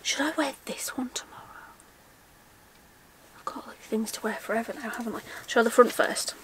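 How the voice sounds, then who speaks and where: A woman's voice: a little high, normal speed, fairly bright tone, in a whisper. A young woman chatting casually through a haul vlog, often asking herself questions and laughing at her own forgetfulness. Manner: chatty, self-mocking, asks herself questions aloud. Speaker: vlogger. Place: indoor room lined with shelves of backpacks.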